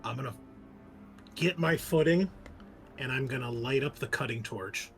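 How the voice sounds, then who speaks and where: A man's voice: mid-range voice, normal speed, fairly bright tone. Wry man playing a tabletop game. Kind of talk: casual game chat. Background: game music.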